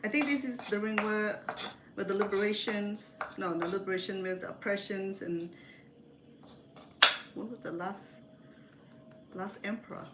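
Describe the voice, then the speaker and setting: A woman's voice: medium pitch, fairly even intonation, measured pace, long pauses. A woman from Cambodia chats casually as she cooks, rambling and correcting herself as she goes. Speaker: home cook hosting a livestream. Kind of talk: casual chat while cooking. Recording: echoey kitchen, clear background noise.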